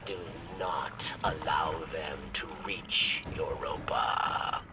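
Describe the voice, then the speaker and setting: An actor playing a wary old male alien schemer in a video game, with a halting, emphatic, grave delivery. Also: extremely low pitch, heavy background noise, heavily acted. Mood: grave, urgent. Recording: distant sound under loud music. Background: loud music.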